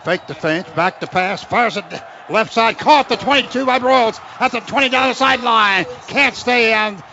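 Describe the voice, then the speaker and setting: A man's voice: extremely high pitch, normal speed. An American man calling live college football on radio, describing each play in clipped phrases and lifting his voice when the action builds. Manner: clipped running description, rising into excited calls on big plays. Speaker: radio play-by-play announcer for the Oklahoma team. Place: outdoor football stadium broadcast. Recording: dull, noisy stadium radio broadcast.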